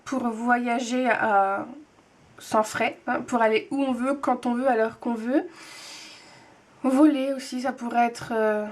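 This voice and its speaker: A young woman in her twenties with a high voice talks casually to her viewers. She rambles a bit, trips over her words and restarts often, filling pauses as she goes. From France. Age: 20s. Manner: chatty, hesitant, many fillers and restarts. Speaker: booktube vlogger, nurse. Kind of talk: casual vlog answering tag questions.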